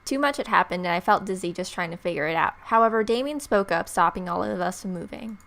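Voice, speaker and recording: A woman's voice: on the low side, lively intonation, quick pace, fairly bright tone. A young woman hosting a let's-play, reading game narration aloud expressively and dropping in playful, casual reactions. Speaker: let's-play video host. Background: game music.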